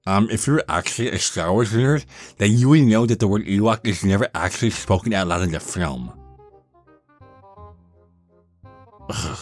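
A man's voice: on the low side, lively intonation, fairly quick, a little mellow, soft background music. A man voicing a dorky young male vampire, pedantic, whiny and condescending, in a goofy roleplay monologue. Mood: smug, pedantic. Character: nerdy, dorky young male vampire, petulant Star Wars know-it-all.

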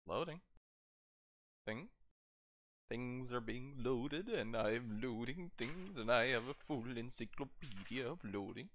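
Bright-voiced, chatty male tabletop player. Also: mid-range voice, expressive, a little slow, a little hiss or hum. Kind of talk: casual in-character improvisation.

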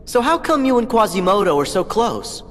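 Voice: medium pitch, big pitch swings, normal speed, soft background music, lightly acted. An actor playing a brave, upbeat teenage boy hero in a video game, eager and direct. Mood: friendly, curious.

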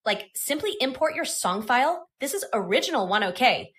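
A woman presenting software in a scripted tutorial narration. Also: high voice, very animated, normal speed, soft background music.

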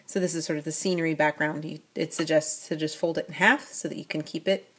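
A woman's voice: deep voice, fairly even intonation, quick pace, fairly bright tone, slightly rough. A middle-aged woman casually narrating a toy unboxing at a tabletop, chatty and full of fillers. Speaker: toy review vlogger. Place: at a tabletop, off camera.

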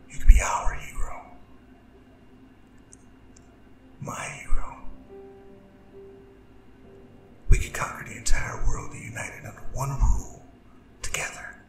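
A man playing a proud, vengeful and seductive demon king in an ASMR audio drama, speaking in a breathy, gravelly half-whisper with slow, grandiose delivery. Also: fairly low pitch, full character voice. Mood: seductive, earnest. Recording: roomy reverb, distant, music behind. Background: music.

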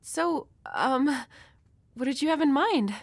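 A woman's voice: sits high, expressive, slow pace, creaky, dry recording, full character voice. A woman playing a nervous, dorky young woman with a crush in an intimate roleplay audio, hesitant, stammering and apologetic. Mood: shy, flustered.

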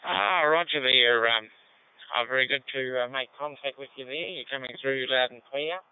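A New man speaks over a ham radio link with very smooth, clean tone. The sound is narrow and phone-like. He chats politely, with frequent hesitant pauses. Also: mid-range voice, no echo. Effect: digital amateur radio codec. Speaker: amateur radio operator. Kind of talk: casual two-way radio chat. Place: mobile in a vehicle. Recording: phone-like digital radio transmission.

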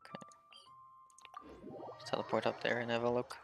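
A man's voice: mid-range voice, monotone, normal speed, vocal fry, faint music behind. A man giving casual, playful gameplay commentary as a video game streamer.

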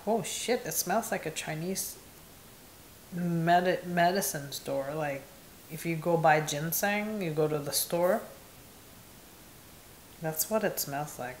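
A young woman from Indonesia reviewing perfumes in casual, candid chat, laughing at herself, filmed in a small bright room. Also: low voice, slow pace, pauses often, roomy sound, slight background noise, low-quality recording. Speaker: beauty vlogger.

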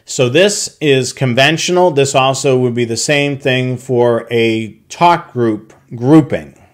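Man, tutorial voice-over, step-by-step, mid-range pitch, occasional fillers. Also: takes it slowly. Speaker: product tutorial presenter. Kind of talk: explanatory voice-over over slides.

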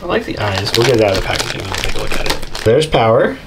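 A man's voice: fairly low pitch, very animated, rapid-fire. Casual man chatting over noisy crinkling. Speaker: unboxing video host. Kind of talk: casual chatty unboxing commentary. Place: indoors at a tabletop. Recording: clear background noise, crinkling packaging. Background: crinkling plastic bags, clicks.

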